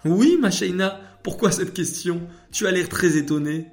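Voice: mid-range voice, normal speed, faint music behind, voice pushed up, lightly acted. A storyteller voicing a kind, patient father who speaks affectionately and instructively to his child. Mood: amused, affectionate.

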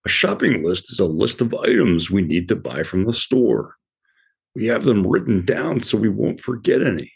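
A man voicing a sensible, patient male elephant in a children's cartoon, explaining things calmly. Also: lowish voice, normal speed, vocal fry, breathy edge, full character voice. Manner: patient, explaining, mildly fussy.

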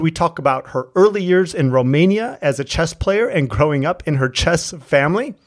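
A man hosting an interview podcast, introducing his guest and chatting in a relaxed, friendly way with plenty of fillers. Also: a little high. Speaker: podcast host.

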